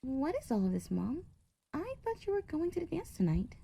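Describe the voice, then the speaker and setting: A woman's voice: high-pitched, wildly swooping pitch, moderate pace, gravelly, mellow, exaggerated voice. A woman playing a friendly, caring young pony schoolgirl in a fan-fiction audio drama. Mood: gentle, concerned.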